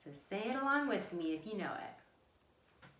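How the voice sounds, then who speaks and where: Female children's storytime host: lively pitch, unhurried, encouraging and sing-song, talks directly to little ones. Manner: encouraging, simple direct address to young children; animated, sing-song delivery. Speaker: children's librarian hosting storytime. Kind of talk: children's storytime with read-aloud, songs and rhymes. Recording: roomy, echoing indoor sound.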